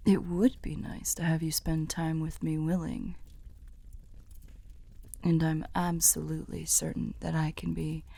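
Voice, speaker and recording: A woman voicing a courtly, tender female demon weary of evil, speaking softly and formally, half whispering, in an ASMR roleplay. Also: on the low side, dry recording, exaggerated voice. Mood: amused, fond. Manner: slow, soft, breathy half-whispered formal speech.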